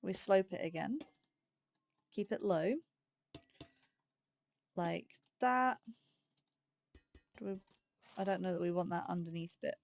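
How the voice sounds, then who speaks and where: A woman hosting a slow game series, chatting to herself as she builds, with phrasing and startled yelps when danger appears. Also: lowish voice, gravelly, darkish tone. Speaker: let's play host. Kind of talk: casual gameplay commentary.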